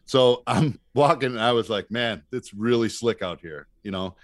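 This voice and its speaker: A man's voice: medium pitch, moderate pace, no room sound. Easygoing man in a dry, close recording. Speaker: podcast guest telling stories. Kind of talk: casual anecdotal chat.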